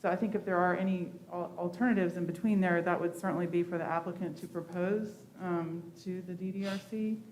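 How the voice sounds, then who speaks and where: A woman giving an unscripted staff response at a meeting, measured and hedging in her phrasing. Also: lowish voice, steady tone, darkish tone, rough recording. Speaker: planning staff member. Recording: meeting audio with faint background noise.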